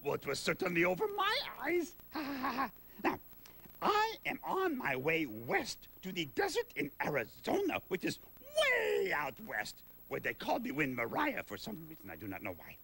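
A gravelly, shrill goofy sheep puppet. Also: male voice, huge pitch swings, moderate pace, bright, forceful tone, exaggerated voice. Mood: gleeful. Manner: gleeful cackling, grand old-fashioned phrasing. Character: goofy excitable male sheep puppet, theatrical.

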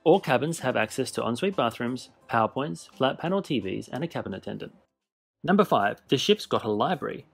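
Gravelly-voiced man narrating a ship tour. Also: mid-range voice, expressive, faint music behind. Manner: measured, informative, list-style delivery. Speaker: cruise ship reviewer. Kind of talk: scripted voice-over narration.

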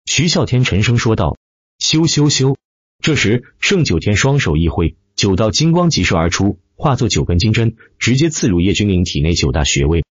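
Deep-voiced man narrating with sweeping intonation. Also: big pitch swings, fairly quick, few pauses, breathy edge, dry recording, muffled recording. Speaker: audiobook narrator. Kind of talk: dramatic scripted narration of a fantasy web novel.

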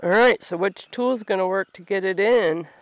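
A young woman talking casually to herself while she works at a grassy campsite, slow and thinking aloud, a little congested from a long cold. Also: medium pitch, no room sound. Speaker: bushcraft vlogger. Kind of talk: casual talk while working. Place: outdoors at a grassy campsite under a tarp. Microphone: camera on a tripod a few meters away.

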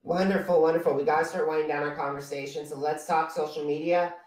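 A man's voice: on the high side, little pitch movement, fast. Young man interviewing from a roomy distance. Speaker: podcast host, interviewer. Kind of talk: interview questions. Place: indoor room at home, video call. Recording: roomy, distant video-call audio.

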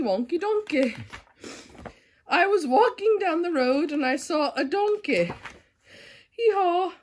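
A woman's voice: high-pitched, darkish tone. A young woman reading a children's picture book aloud, giggly and struggling to keep a straight face. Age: young adult. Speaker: storybook reader. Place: living room sofa, indoors.

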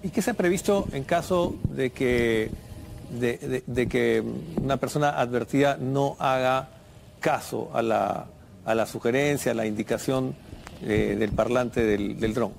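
A male news anchor putting a question on live television, haltingly. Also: mid-range voice. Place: television studio. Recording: clear noise behind the voice.

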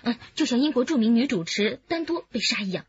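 Outspoken female detective, inquisitive, very animated, slightly dull radio-play sound. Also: on the high side, brisk, husky, dry recording. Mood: curious, reasoning. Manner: questioning, expressive. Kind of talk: Chinese radio drama acting. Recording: muffled radio drama recording.

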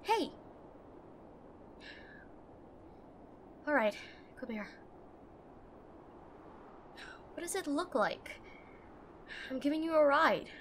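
A woman playing a brusque, protective and frightened tsundere girlfriend in a roleplay audio drama, speaking urgently and haltingly straight to the listener. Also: high-pitched, animated, slight background noise. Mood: tense, urgent. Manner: bossy, urgent, emotional, halting.

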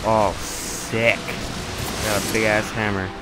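A man with a nasal voice and a cold, commenting casually and excitedly on his gameplay, swearing often. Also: on the low side, takes it slowly, rough recording. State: has a cold. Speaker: gamer. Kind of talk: casual let's play commentary. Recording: loud game music behind.

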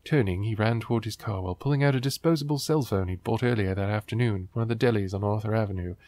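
A man's voice: fairly low pitch, quick pace, darkish tone, dry recording. A man hosting a book riffing show, reading aloud and commenting in a sarcastic, wry, exasperated way.

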